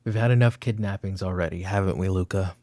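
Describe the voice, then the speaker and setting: A man playing a weary, short-tempered mafia boss father in a roleplay audio drama, with a gravelly, husky voice and a commanding, curt manner. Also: sits low, fast, dry recording, close to an everyday voice. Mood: stern, weary. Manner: commanding, curt, slipping into hushed muttering. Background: background music.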